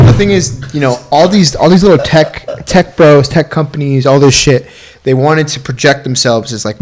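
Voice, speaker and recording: A man's voice: mid-range voice, a little mellow. A man with a mid-range voice talks casually in a podcast conversation. His manner is slangy and sardonic, with some swearing thrown in. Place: room used for podcast, indoors.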